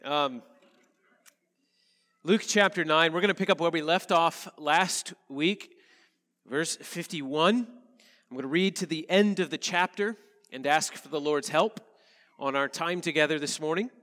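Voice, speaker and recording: A male pastor, a little nasal from a head cold, reading scripture and preaching in an earnest, measured way. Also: on the high side, a bit bright, big-room sound. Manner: earnest, measured preaching with slow emphatic phrases. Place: church sanctuary.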